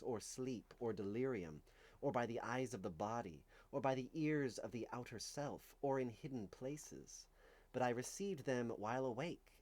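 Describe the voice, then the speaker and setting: A man reading religious writing aloud in a solemn, declamatory way, with deliberate phrasing and dramatic emphasis. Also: medium pitch, darkish tone. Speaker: reader of a religious text. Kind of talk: dramatic reading of medieval visionary scripture.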